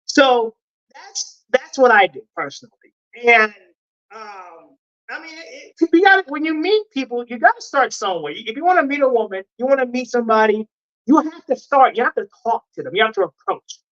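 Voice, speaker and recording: A man's voice: very high voice, lively intonation, normal speed, fairly bright tone, muffled recording. A man from Nebraska, an interview guest, defending himself insistently and repeating his points. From United States, Nebraska. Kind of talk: interview answers.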